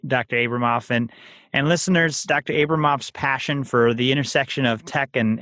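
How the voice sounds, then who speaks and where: A man's voice: mid-range voice, a bit bright, no echo, clean studio sound. A man hosting a health podcast, reading a promo and introducing a guest in an upbeat, promotional way. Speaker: podcast host.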